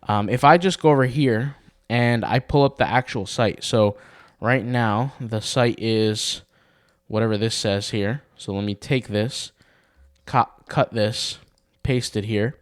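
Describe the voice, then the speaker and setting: A man with a slightly raspy voice giving a casual, rambling screen-recorded tutorial on building a website, full of fillers and restarts. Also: on the low side, measured pace, dry recording, clean studio sound. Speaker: blogging tutor.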